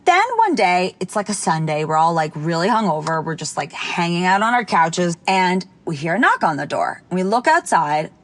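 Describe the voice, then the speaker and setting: Woman, young adult, casual anecdote, chatty, animated, filler-heavy. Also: moderate pace, few pauses. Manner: animated, jumps high when quoting people. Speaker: storyteller.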